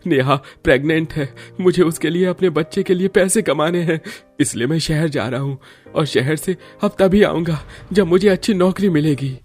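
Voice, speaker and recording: A man voicing an earnest, higher-pitched worried young husband. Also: moderate pace, hardly any pauses, darkish tone, breathy edge, soft background music. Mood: troubled. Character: worried young husband and son, struggling food-cart seller. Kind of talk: Hindi cartoon story dialogue.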